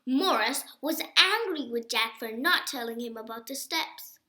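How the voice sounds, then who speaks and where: Bright, high-pitched woman reading aloud. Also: animated, unhurried, reverberant. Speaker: reader of a children's book.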